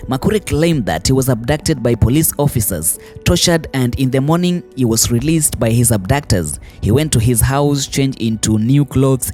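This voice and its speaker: A Kenyan man narrates scripted news commentary for an online audience. His voice is mellow and sits at an average male pitch, with faint music running underneath.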